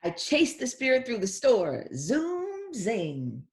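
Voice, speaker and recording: A woman's voice: fairly high pitch, wildly swooping pitch, slow pace, a little mellow, voice pushed up, heavily acted. A woman voicing a joyful little girl from a Christmas storybook, drawing out her words and singsonging the sound effects. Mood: excited.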